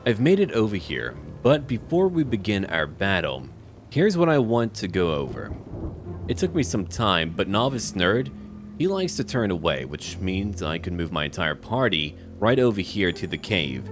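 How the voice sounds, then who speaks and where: A man's voice: low-pitched, sing-song, normal speed. A man hosting a roleplay video game playthrough, commenting and talking to the characters in first person. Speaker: gaming video host. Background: game music.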